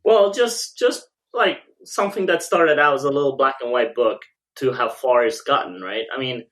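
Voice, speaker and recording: Man with mid-pitched voice, casual podcast co-host. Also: moderate pace, fairly bright tone. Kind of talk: casual chat among comics fans.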